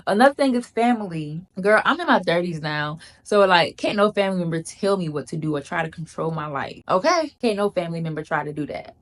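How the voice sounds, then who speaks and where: A woman's voice: lowish voice, animated, fairly quick, hardly any pauses. A woman gives casual advice to camera. She talks in an emphatic, chatty way, repeats lines for emphasis and calls viewers "girl.". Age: 30s. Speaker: vlogger.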